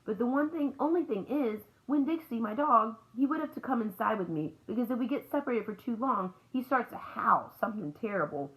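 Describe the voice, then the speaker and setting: A woman's voice: fairly high pitch, expressive, a little fast, hardly any pauses, fairly bright tone, pitched above the performer's own voice, low-quality recording. A woman voicing an earnest young girl in a storybook, eager, polite and persuasive. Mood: eager, pleading.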